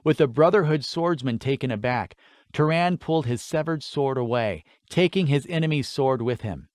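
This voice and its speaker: A man's voice: medium pitch, moderate pace, a little mellow, no room sound, clean studio sound. A man narrating a scripted fantasy story as an audiobook reader.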